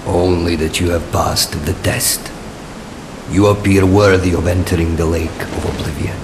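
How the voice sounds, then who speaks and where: An actor playing a solemn, cryptic guide in a fantasy video game, speaking in a measured, portentous way. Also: male voice, low voice, fairly even intonation, background noise, lightly acted. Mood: calm, cryptic. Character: solemn, cryptic male guide testing a hero's worth. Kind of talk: dramatic fantasy game acting.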